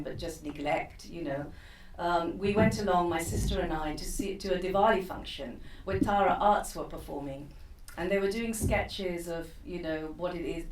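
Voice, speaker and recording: A woman's voice: lowish voice, a little mellow. A woman in her fifties, from East Africa, giving a keynote talk off the cuff, chatty and full of fillers and restarts. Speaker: keynote speaker. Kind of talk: unscripted personal talk. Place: conference room. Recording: roomy, from a distant mic, faint noise.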